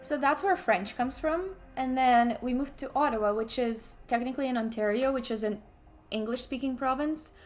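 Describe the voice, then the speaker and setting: A young woman from Ukraine talks casually on her stream, voice sitting a little high. She breaks off sentences and restarts them, tossing in asides to herself as she goes. Soft music runs quietly underneath. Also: normal speed, few pauses. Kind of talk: casual chat while playing chess.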